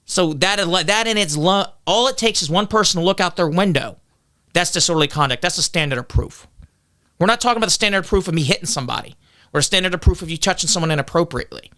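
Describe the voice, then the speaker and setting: Man, loud voice, combative, fast, bright crisp tone. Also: sits high, expressive. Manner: combative, argumentative, lectures on legal details. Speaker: political candidate guest. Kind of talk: defensive podcast interview.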